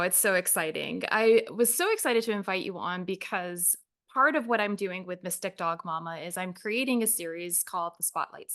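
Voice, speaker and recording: A woman hosting a podcast, reading an enthusiastic introduction and then chatting warmly with her guest. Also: mid-range voice. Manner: enthusiastic, gushing. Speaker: podcast host. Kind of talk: scripted intro then casual interview.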